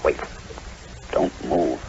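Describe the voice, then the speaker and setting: A man in a vintage radio horror drama playing a grim, authoritative figure who speaks with dramatic, deliberate emphasis. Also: a little mellow. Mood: tense, commanding. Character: grim, commanding man who knows the island's dark lore. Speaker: radio actor. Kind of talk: 1940s radio horror acting. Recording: muffled, faintly noisy old radio recording.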